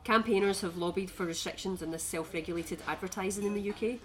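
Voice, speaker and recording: A woman co-hosting a podcast, talking through researched statistics in a measured, informative way. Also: medium pitch. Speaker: podcast co-host. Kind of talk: casual chat with researched facts.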